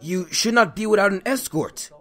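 A man's voice: sits high, normal speed, sharp tone. A man voicing an anxious, deferential royal guard who stammers nervously, in an amateur comic dub. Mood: nervous, hushed urgency.

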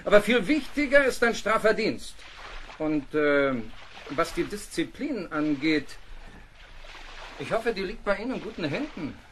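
An actor playing a stern, fault-finding naval captain in a radio play, clipped, commanding and condescending. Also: male voice, high voice, very animated, a little slow, a bit bright, slight background noise, full character voice. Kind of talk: German radio play acting.

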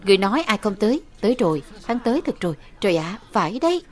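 A woman reading a scripted voice-over dub for an animated fantasy drama. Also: medium pitch, quick pace, faint music behind. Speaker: voice-over narrator dubbing all characters.

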